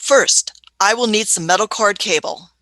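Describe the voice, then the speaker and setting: Woman acting an eccentric older lady time traveller, sharp bright voice, fussy hesitant phrasing, imperious, close dry sound, radio play. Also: mid-range voice, normal speed, no room sound, natural voice. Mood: brisk, businesslike. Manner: fussy, hesitant, repeats phrases, hums questioningly.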